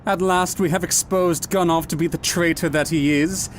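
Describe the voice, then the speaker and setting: A woman's voice: fairly low pitch, steady tone, normal speed, a little hiss or hum, lightly acted. A woman playing an eager, impatient son of a villain in a sci-fi audio drama, earnest and pleading. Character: eager, impatient villain's son seeking his mother's trust.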